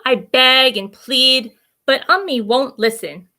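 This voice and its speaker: A woman's voice: high voice, takes it slowly, sharp tone. A woman hosting children's story time, reading a storybook expressively with simple asides and questions for young listeners.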